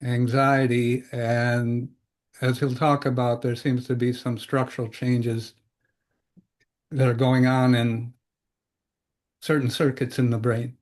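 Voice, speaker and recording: A man's voice: mid-range voice, level tone, slow, pauses often, a little mellow. An older man hosting a podcast, asking loosely phrased questions and rambling through his own stories. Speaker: podcast host. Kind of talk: conversational interview.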